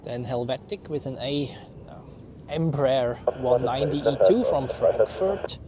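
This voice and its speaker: A man with a Swiss accent giving relaxed, chatty live commentary on arriving and departing planes as a plane-spotting host. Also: mid-range voice, a little slow, crowd noise. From Switzerland. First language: Swiss German. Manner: chatty, relaxed, thinking aloud. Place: outdoors beside an airport runway. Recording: outdoor aircraft noise. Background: aircraft and vehicle noise.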